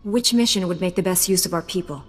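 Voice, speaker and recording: An actress playing a composed, dutiful Jedi commander in a video game, speaking in measured, terse, resolute lines. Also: female voice, lowish voice, lightly acted. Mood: calm resolve. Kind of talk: video game cutscene dialogue.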